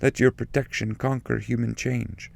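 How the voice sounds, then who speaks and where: A man voicing a solemn, pleading saint, pitched up. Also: fairly even intonation, moderate pace, vocal fry, darkish tone. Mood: earnest pleading. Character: reverent saintly holy man praying to the Virgin. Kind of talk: dramatic poetry reading, audiobook.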